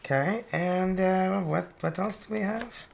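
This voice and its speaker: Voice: mid-range voice, measured pace, vocal fry, a little mellow, slight background noise. A tarot reader from northern Ontario with a Franco-Ontarian accent, a native French speaker, chatting casually with laughing, cheeky asides while reading cards. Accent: Franco-Ontarian French-Canadian. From northern Ontario, Canada.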